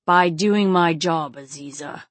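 A woman plays a grim male enforcer in an audiobook. He speaks low and gravely, with restrained anger and a slight growl. The sound is close and dry. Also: dry recording, heavily acted. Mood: intense, restrained. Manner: grave, measured. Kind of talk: audiobook character acting.

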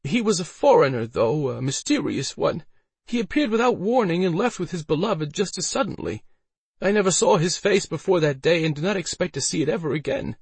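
A man's voice: a little high, lively intonation, a little fast, gravelly, a little mellow, breathy edge, no echo, pitched above the performer's own voice, heavily acted. A man voicing a defensive, pleading woman innkeeper in an audiobook, with an insistent, strained delivery. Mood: pleading, earnest.

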